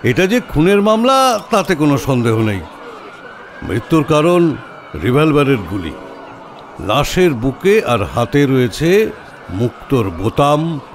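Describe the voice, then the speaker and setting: An actor playing a pompous, arrogant, portly police inspector in an audio drama, with a booming, blustery voice and haughty delivery. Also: male voice, slight background noise. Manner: haughty, theatrical, drawn-out questioning.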